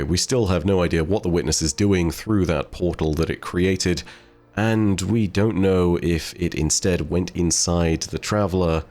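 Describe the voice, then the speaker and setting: A man giving video game lore commentary in a measured, explanatory, wordy way. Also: low-pitched, darkish tone. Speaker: video host. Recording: music behind the voice. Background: background music.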